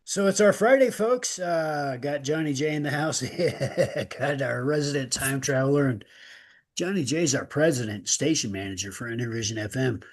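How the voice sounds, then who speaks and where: A man's voice: mid-range voice, normal speed. A man co-hosting an online radio show with casual, joking banter, chuckling and calling out to listeners. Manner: jokey, chuckling, animated calls to listeners.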